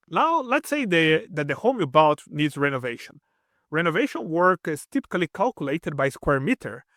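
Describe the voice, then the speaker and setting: A man's voice: a little high, pushed and bright, no room sound, clean studio sound. A man hosting a video channel, narrating a prepared script in slightly non-native English, earnest and explanatory, talking straight to his viewers and repeating key advice for emphasis. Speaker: expat channel host.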